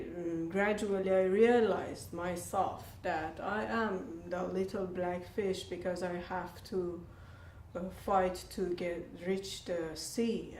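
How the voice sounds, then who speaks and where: Hesitant woman, slow and roomy. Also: lowish voice, a little mellow. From Iran. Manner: hesitant, with many pauses and fillers. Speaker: storyteller. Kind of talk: personal story told to a group. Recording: roomy, distant with faint noise.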